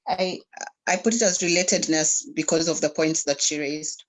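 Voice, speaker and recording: A woman's voice: sits low, fairly even intonation, normal speed. A woman from Kenya, not a native English speaker, explaining her word choice tentatively on a video call. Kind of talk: casual meeting discussion.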